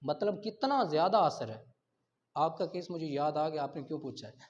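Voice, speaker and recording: A man's voice: a little high, lively intonation, normal speed. A man with a Pakistani accent giving an explanatory law lecture with examples, asking and answering his own questions and mixing in English terms. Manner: switches between Urdu and English legal terms. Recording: dull lo-fi sound.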